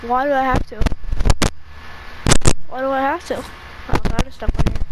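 A woman's voice: high-pitched, fairly even intonation, normal speed, lightly acted. A woman playing a young, questioning minion in an improvised video game roleplay, with a plain-spoken manner. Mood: reluctant. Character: young hero turned obedient, questioning evil minion. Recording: very noisy home game recording.

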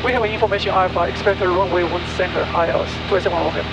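A man's voice: high-pitched, fairly even intonation, rapid-fire, gravelly. A man piloting a small plane reads instructions back to air traffic control from the cockpit. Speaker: private pilot. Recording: muffled cockpit audio, loud engine drone. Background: loud aircraft engine noise.

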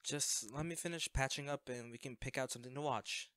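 A man plays a secret superhero in a one-sided audio drama, awkward and self-effacing. His mid-pitched voice stammers and hedges as he covers up for himself with a close friend. The sound is close and very dry. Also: fairly quick, a bit bright, clean tone, dry recording, natural voice. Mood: sheepish, weary. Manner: hesitant, stammering, evasive.

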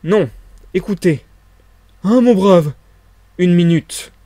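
A man's voice: medium pitch, brisk, a little mellow, dry recording, lightly acted. A man playing an invisible man in an audiobook, commanding and impatient, speaking in terse, insistent phrases. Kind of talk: dramatized audiobook reading.

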